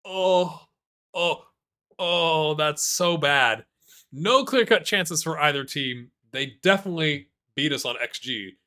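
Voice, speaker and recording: A man casually commentating over his own football management game, chatty and self-deprecating, muttering asides and then erupting with excitement at the big moments. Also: on the high side. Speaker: gaming YouTuber playing a football manager game.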